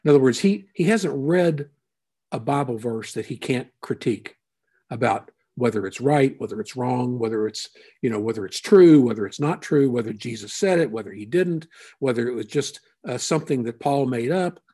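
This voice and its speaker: A man preaching a conversational sermon as a pastor, unhurried and thinking aloud with frequent asides. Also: mid-range voice, expressive, warm tone, breathy edge.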